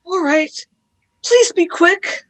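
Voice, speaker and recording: Woman acting as scared young girl, very high voice, anxious, urgent, pleading, radio drama. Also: takes it slowly, fairly bright tone, exaggerated voice.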